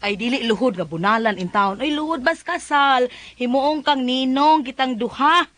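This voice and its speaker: A woman's voice: sits high, moderate pace, a bit bright. A woman with a Cebuano Filipino accent playing an exasperated, nagging village wife in a comedic radio drama. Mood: teasing.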